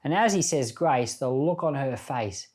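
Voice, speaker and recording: A man's voice: mid-range voice, expressive, measured pace, darkish tone. A man speaking as a pastor, giving informal Bible teaching in a reflective, conversational storytelling manner.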